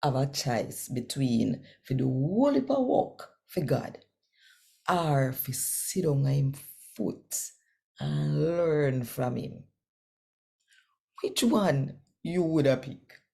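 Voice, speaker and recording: An older Jamaican woman in her sixties speaks in a very low, gravelly voice at an unhurried pace. She hosts a scripture reading, telling it expressively and deliberately, as if to listeners close by in a small room at home. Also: animated, warm tone. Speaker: channel host and scripture reader. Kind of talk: daily scripture reading and chat in Jamaican Patois.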